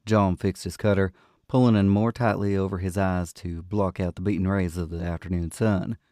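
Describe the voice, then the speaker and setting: A man's voice: low voice, fairly even intonation, normal speed, darkish tone, no room sound, studio quality. A man who works as an audiobook narrator and panel host, speaking expressively.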